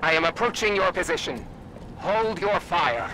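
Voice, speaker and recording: Formal, higher-pitched alien pilot. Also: male voice, lively intonation, background noise. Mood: formal, measured. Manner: formal, measured, commanding. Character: formal, urgent alien warrior pilot ally. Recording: loud game music behind.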